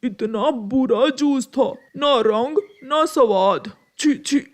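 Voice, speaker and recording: A woman's voice: high-pitched, takes it slowly. A woman voicing a fussy, complaining pigeon customer in a children's cartoon. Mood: disgusted.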